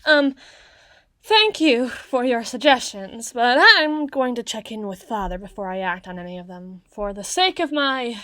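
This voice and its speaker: A woman playing an awkward, bookish tsundere young woman in an ASMR roleplay, speaking haltingly and wordily to the listener. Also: a little high, expressive, pushed and bright, no room sound. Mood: anxious, hesitant. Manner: stammering, halting, wordy and bookish in phrasing.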